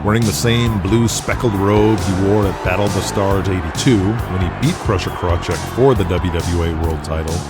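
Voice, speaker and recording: A man's voice: sits low, normal speed, few pauses. A man narrating a scripted audio drama in the present tense. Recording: music under the voice.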